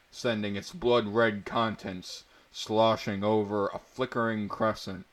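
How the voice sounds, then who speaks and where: A man with a fairly low voice narrates pulp fantasy at a very slow pace. He is theatrical and mock-serious, and he drops into amused asides about the text.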